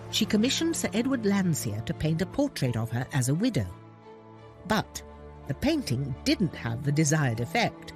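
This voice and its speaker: Lively woman narrating a documentary. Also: deep voice. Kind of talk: scripted narration. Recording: music clearly behind the voice.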